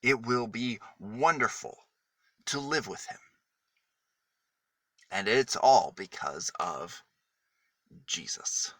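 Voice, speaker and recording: A man reads a story to children with very lively, swooping intonation. He takes it slowly, phrase by phrase, pausing for emphasis. His voice is bright and the sound is close and dry. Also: lowish voice, no room sound. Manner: dramatic, deliberate phrasing with emphatic pauses. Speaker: storyteller reading a children's book. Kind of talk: expressive read-aloud story for children.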